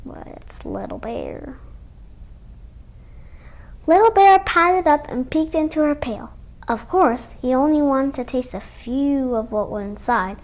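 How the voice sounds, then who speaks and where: A young girl hosting a children's storytime, reading a picture book aloud. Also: high voice. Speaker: storytime host.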